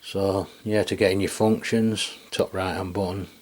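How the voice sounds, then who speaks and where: A man's voice: low-pitched, steady tone, moderate pace, darkish tone. A man with an English accent giving a casual, rambling hands-on product demonstration in colloquial speech, often ending on tag questions. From England. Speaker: radio reviewer and video host.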